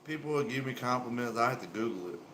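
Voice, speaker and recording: A man's voice: mid-range voice, expressive, fast, fairly bright tone. Laid-back Southern man in echoey room. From South Carolina, US. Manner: laid-back, friendly, drawling slang. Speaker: livestream host. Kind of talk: casual live-stream chat with viewers. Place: indoor room. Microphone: small earpiece microphone. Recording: echoey room with faint ambient noise.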